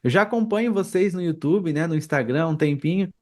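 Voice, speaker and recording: A man's voice: a little high, fast, darkish tone. A Brazilian man hosting an interview podcast in a casual, conversational style. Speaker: podcast host.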